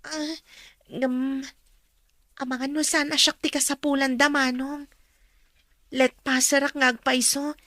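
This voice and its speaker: Bright, high-voiced earnest young woman. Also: little pitch movement, slow, pauses often, dry recording. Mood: hopeful, dutiful. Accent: northern Philippine. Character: earnest, dutiful young woman graduate, newly qualified teacher. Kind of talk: radio drama acting.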